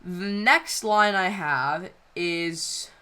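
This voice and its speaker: A woman creator talking casually over a video, rambling and halting with lots of fillers. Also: on the low side, pushed and bright, smooth. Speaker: channel creator.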